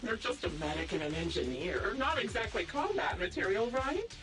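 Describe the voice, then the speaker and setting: An actress voicing a sadistic, gloating female sniper villain in a video game, mocking and theatrical, with drawn-out false politeness. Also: medium pitch, sing-song, fairly bright tone, far from the mic. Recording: music behind the voice.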